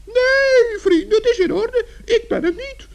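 A man voicing a small, cheerful, sensible male forest gnome with a squeaky, creaky voice in a children's radio play. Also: measured pace, heavily acted. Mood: cheerful, reassuring.

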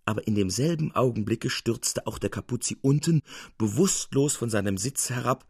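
A man narrating a literary tale in a formal, dramatic, expressive storytelling style. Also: mid-range voice, normal speed, creaky, slightly rough, dry recording, clean studio sound.

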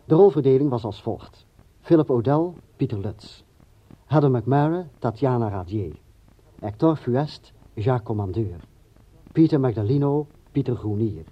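A man reading closing credits as a radio announcer, formal and measured. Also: medium pitch, lively intonation, breathy edge. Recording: dull radio recording.

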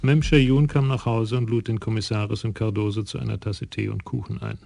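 A man giving measured, scripted narration for a radio crime play. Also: fairly low pitch, steady tone, vocal fry, mellow, soft background music.

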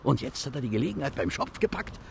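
Radio play: self-pitying detective, gravelly, mutters and swoops. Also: male voice, medium pitch, big pitch swings, fast, noisy background. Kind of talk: radio drama acting.

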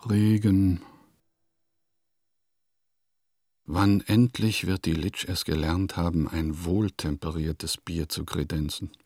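An actor playing a grumpy, self-important provincial composer in a radio play, grumbling through his diary in pompous, deliberate phrases. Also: low-pitched, fairly even intonation, a little mellow, slightly rough, dry recording, clean studio sound. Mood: grumbling, weary.

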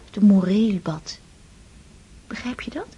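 An actress playing a young woman confiding in hushed, half-whispered tones in a radio play. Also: medium pitch, measured pace, husky, lo-fi sound. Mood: tender. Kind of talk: radio drama acting.

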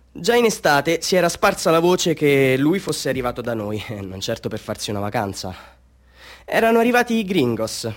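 A man playing a boastful small-time Bolivian street photographer in a radio drama, pitching to tourists in a pompous, confiding, salesman-like way. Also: close to an everyday voice. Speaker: actor in a radio drama monologue. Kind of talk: theatrical radio monologue addressed to tourists.

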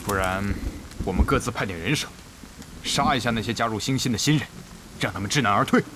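A man's voice: on the low side, fast talker, background noise, lightly acted. A man playing a blunt, combative officer of a gamers' guild in an audio drama. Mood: plotting. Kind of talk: Chinese audio drama dialogue.

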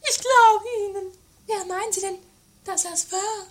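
A woman's voice: very high-pitched, steady tone, measured pace. A woman playing a vain, self-important film diva in an amateur comedy radio play, melodramatic and fearful for herself. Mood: anxious, dramatic. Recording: faintly noisy 1980s amateur radio recording.

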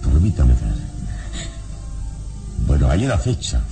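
Radio-drama hero: very deep voice, commanding, solemn, dramatically varied delivery over music. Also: male voice, very animated, vocal fry, full character voice. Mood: pensive. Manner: measured, deliberate, solemn. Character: calm, commanding heroic male adventurer investigating a murder. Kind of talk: Spanish-language radio serial acting.

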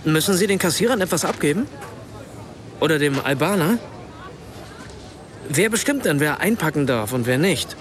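A man's voice: fairly high pitch, expressive, normal speed, pauses often, some chatter behind, close to an everyday voice. A man playing a polite, probing writer. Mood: curious. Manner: questioning, polite, formal address. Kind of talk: radio drama acting.

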